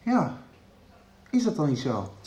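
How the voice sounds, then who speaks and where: An earnest young student in a radio soap. His voice is fairly high and very animated, hesitant but insistent, with a roomy sound around it. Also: slow pace, highs cut off, heavily acted. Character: earnest, stubborn young male student seeking truth. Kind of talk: 1990s radio soap acting.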